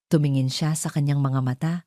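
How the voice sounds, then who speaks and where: A woman's voice: low voice, steady tone, brisk, dark tone, no echo, studio quality. A woman narrating a scripted dramatic story as a storyteller.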